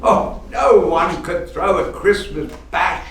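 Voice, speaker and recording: A man acting in a radio play as a fearful old curmudgeon of a businessman, halting and theatrical, full of exclamations. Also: high-pitched, a bit bright, heavily acted. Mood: nostalgic wonder. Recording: echoey, distant stage recording, faint noise.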